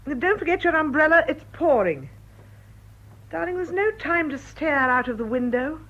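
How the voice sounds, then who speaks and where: An actress playing a brisk, insistent, well-spoken English clergyman's wife with a husky voice in a radio drama. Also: sits high, expressive, a bit bright, highs cut off. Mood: hurried, insistent. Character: brisk, watchful, bossy wife of a canon. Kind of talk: British radio drama acting.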